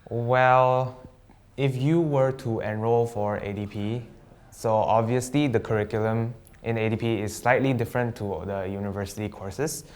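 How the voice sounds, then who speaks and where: A young man from Sabah, a student guest chatting casually on a live stream, relaxed and jokey with slang and hesitant fillers. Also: medium pitch, moderate pace. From Sabah, East Malaysia. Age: young adult.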